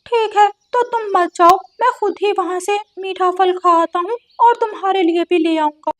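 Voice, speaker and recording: A woman voicing a naive, excitable male parrot in a children's cartoon, eager and emphatic in manner. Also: extremely high pitch, moderate pace, no room sound, pitched above the performer's own voice. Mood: insistent. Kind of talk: Hindi children's cartoon dialogue.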